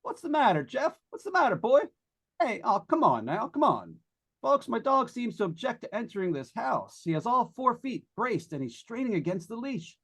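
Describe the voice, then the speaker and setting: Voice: mid-range voice, big pitch swings, moderate pace, vocal fry, fairly bright tone, husky, full character voice. An actor playing a chatty, wisecracking radio announcer on a ghost hunt, in 1940s radio drama style. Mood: breezy, joking. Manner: breezy, folksy, jokey.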